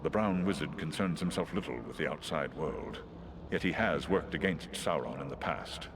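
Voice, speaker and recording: An actor voicing a wise, solemn great eagle in a fantasy game, with a measured, archaic way of speaking. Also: male voice, a bass voice, lively intonation, heavily acted. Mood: grave counsel. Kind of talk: fantasy video game cutscene acting. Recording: clear background ambience noise.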